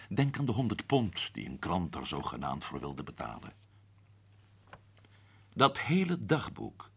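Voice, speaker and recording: A man playing a shrewd man explaining the solution of a mystery in a radio play, husky and measured. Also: low-pitched, a bit bright, full character voice. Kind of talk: radio drama acting.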